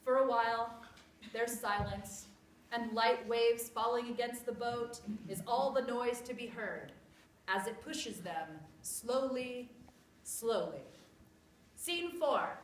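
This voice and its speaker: A woman reading scripted story narration aloud in an expressive, theatrical way. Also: fairly high pitch, slow. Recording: echoey room with clear background noise.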